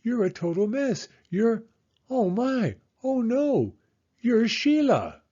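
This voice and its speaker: An exasperated storybook father, put on by a male reader, scolding in indignant exclamations. His pitch is pushed up high and swings widely. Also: sing-song, takes it slowly, warm tone, dull audio, full character voice. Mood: shocked realization. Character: gruffly indignant father scolding a small girl.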